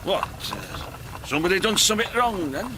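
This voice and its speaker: A man's voice: sits high, sing-song, normal speed, background noise. An actor playing an inquisitive, plain-spoken Yorkshire local man in a naturalistic radio drama, blunt and colloquial. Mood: nosy, curious.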